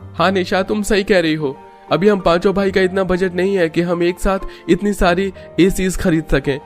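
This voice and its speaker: A man's voice: sits high, steady tone, moderate pace, faint music behind, lightly acted. A man playing a mild, agreeable young husband in a cartoon story. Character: polite, supportive young husband.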